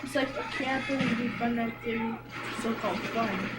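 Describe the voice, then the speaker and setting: A young woman teasing her friend with deadpan jokes while they play a game together. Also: on the high side, moderate pace, loud music behind the voice, low-quality recording. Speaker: gamer. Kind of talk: casual gameplay chat.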